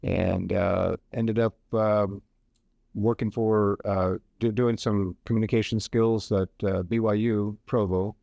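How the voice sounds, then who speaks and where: A man's voice: lowish voice, steady tone, slow pace, gravelly, dark tone, no echo, lo-fi sound. A man in his sixties, a professor from Hawaii, talking through his career on a radio interview with many fillers and restarts. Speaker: professor and guest.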